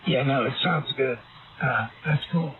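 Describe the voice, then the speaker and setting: A man's voice: medium pitch, unhurried, a little hiss or hum. A man chatting casually as an amateur radio operator over a ham radio transmission. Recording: narrow phone-like radio audio, crowd-like babble behind.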